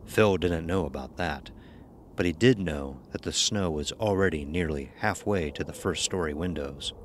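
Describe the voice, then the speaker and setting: A man narrates a horror audio drama as a scripted story. His voice is very deep, and there is faint noise behind him. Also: moderate pace.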